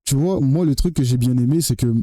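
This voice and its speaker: Blunt young man, dark dry voice. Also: mid-range voice, a little fast, dry recording. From French Guiana. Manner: blunt, emphatic, swears, repeats and restarts phrases. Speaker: vlogger. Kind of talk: opinion monologue to camera.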